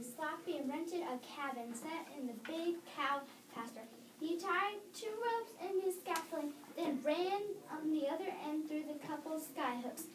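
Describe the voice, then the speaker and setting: A child reads a scripted story aloud, halting now and then to correct stumbles. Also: high-pitched. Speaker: reader in a readers theater performance. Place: echoey room. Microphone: distant microphone. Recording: roomy, distant microphone, noticeable background noise.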